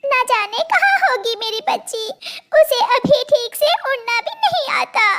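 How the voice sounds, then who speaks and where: A woman voicing a caring mother bird who is gentle but firm, in a children's cartoon story. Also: very high-pitched, expressive, measured pace, voice pushed up, full character voice. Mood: worried. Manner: motherly, admonishing.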